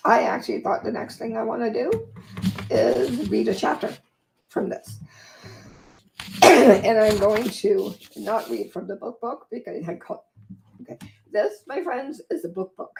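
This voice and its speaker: A woman from Denmark hosting a casual livestream and reading aloud from her own book, her voice croaky and hoarse from a long day of talking, chatty and easily sidetracked. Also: medium pitch, a little slow, long pauses, a little mellow, slight background noise, low-quality recording. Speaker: vlog host and author.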